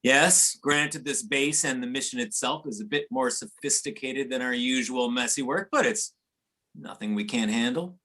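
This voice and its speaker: A man's voice: mid-range voice, normal speed, bright, forceful tone, close to an everyday voice. A man playing a worn, sinister chief of covert operations, reading in an amateur readers theater over a video call. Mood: smug, cold. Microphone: video or conference call.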